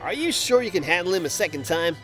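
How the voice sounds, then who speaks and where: Man playing a lively, cocky young hero hedgehog. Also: high-pitched, fast talker, faint music behind. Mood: confident. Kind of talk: fan audio drama acting.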